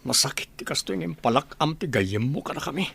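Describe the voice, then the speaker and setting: A man's voice: fairly high pitch, lively intonation, fast, gravelly, sharp tone, slightly rough, exaggerated voice. A man playing a heartbroken, abandoned husband and father in an Ilocano radio drama, lamenting his hurt. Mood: bitter, hurt. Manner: anguished, lamenting.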